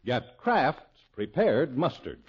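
A man's voice: medium pitch, sing-song, slow pace. An American man reading a chatty, scripted 1950s radio advertisement as a commercial announcer. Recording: muffled old radio broadcast.

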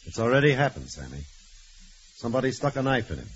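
An actor playing an earnest, idealistic prison warden with a formal, authoritative manner in an old-time radio crime drama. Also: male voice, medium pitch, big pitch swings, fast, close to an everyday voice. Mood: earnest, concerned. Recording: muffled, noisy vintage radio recording.

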